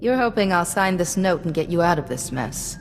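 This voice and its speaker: An actress playing a composed, supportive Jedi diplomat in a video game, speaking politely and with measured delivery. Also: female voice, fairly low pitch, expressive, gravelly, big-room sound. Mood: composed, obliging. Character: calm, courteous, supportive female Jedi diplomat. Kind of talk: scripted video game acting. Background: music.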